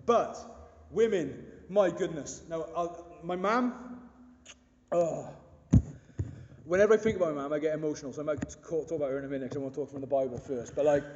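A pastor in his thirties with a big booming voice, preaching an earnest, emphatic sermon full of rhetorical questions. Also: a little fast, noisy background. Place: church hall. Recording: dull echoey hall recording.

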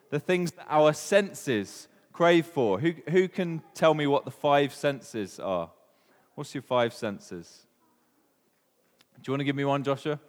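A man with a Welsh accent giving an informal sermon, talking casually and putting questions to the congregation. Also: mid-range voice. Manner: chatty, asks the room questions, many ums. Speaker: preacher. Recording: long reverb of a hall.

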